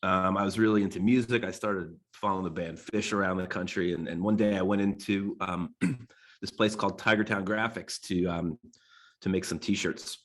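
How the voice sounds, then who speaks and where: A deep, low-pitched American man in his forties talks casually to a class. He sounds nasal from a cold and clears his throat often. He rambles, restarts his sentences and leans on fillers. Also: fairly even intonation, normal speed. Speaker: guest designer giving a talk. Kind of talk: casual talk to a design class.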